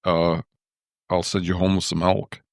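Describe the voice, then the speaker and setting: Low, slow male host, casual and wry, dead-dry recording. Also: flat delivery, vocal fry, dry recording. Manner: slow, thoughtful, self-deprecating humor. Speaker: podcast host. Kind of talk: casual podcast chat.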